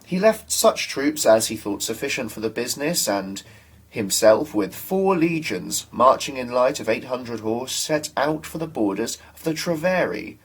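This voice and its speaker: A young man giving a dramatic reading of a historical text, formally and deliberately, phrase by phrase. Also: mid-range voice, animated, breathy edge, poor audio. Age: young adult. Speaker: student reader.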